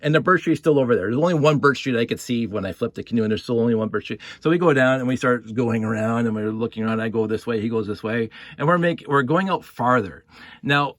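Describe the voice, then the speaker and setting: A man tells a story in an informal, improvised way. His voice sits at an average pitch, and he rambles, doubling up on words and phrases. The sound is dry, with little room echo. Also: fast. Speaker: storyteller and video host. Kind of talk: casual improvised storytelling with toy props.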